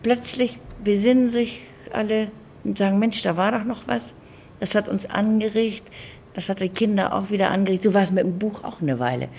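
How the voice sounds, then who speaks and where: A woman's voice: mid-range voice, moderate pace, creaky, darkish tone, a little hiss or hum. An older woman, reminiscing conversationally as an interviewed producer, emphatic and repeating words for stress. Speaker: interviewee, audio drama producer.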